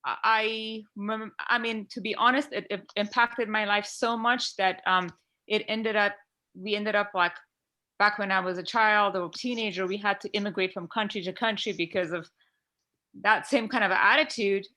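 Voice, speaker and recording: Woman from Iran, podcast panelist, bright tone, heartfelt rambling talker on a video call. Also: medium pitch, fairly even intonation, normal speed. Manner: heartfelt, rambling with many fillers. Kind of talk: earnest discussion on a video call.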